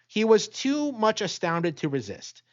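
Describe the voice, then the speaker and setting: Animated man narrating a gothic tale. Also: high-pitched, unhurried, highs cut off. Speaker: audiobook narrator. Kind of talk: dramatic scripted gothic story narration.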